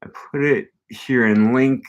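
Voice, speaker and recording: A man's voice: medium pitch, fairly even intonation, a little slow, clean tone. A young man talking casually on a live stream from a small room, rambling with many ums and restarts. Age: young adult. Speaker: language learning streamer. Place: small room with bookshelf, indoors. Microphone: webcam at desk.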